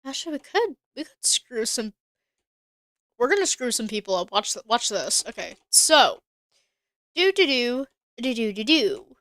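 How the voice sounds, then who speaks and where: A woman's voice: fairly high pitch, very animated, a little slow, pauses often, a bit bright, no room sound, clean studio sound. A woman streamer chatting casually while she plays a game, thinking aloud and answering her chat. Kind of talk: casual live-stream gameplay commentary.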